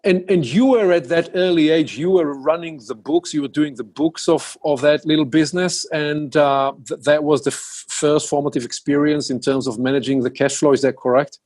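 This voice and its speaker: A man hosting a podcast, asking deliberate, probing interview questions. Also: fairly high pitch, darkish tone. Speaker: podcast host.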